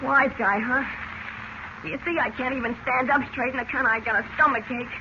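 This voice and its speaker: Shrill, surly stowaway kid. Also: male voice, fairly even intonation, a little fast. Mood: defiant. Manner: tough-talking, surly, slangy, whining. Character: defiant fourteen-year-old street-tough stowaway boy. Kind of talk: old-time radio drama acting. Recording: very noisy muffled vintage radio broadcast.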